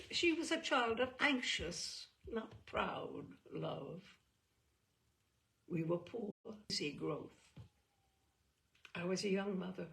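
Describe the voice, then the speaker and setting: A woman reading a short story as a weary, regretful working mother, in a halting, deliberate, intense way. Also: medium pitch, gravelly. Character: weary, regretful working mother confiding about her daughter. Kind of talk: dramatic first-person short story reading.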